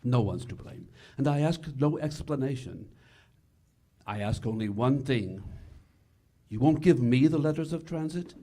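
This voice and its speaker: A man portrays an earnest, high-minded cause leader in an old-time radio play. His tone is soft-edged and mellow. He speaks formally, with measured sincerity. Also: lively intonation. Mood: earnest pleading. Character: earnest, noble male resistance leader in love. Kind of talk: 1940s radio theater acting.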